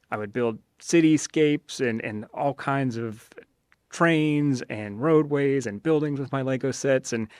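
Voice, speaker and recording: A man's voice: medium pitch, dry recording, clean studio sound. A man, a mayor, hosting a podcast and interviewing a guest in a friendly, informal, chatty way.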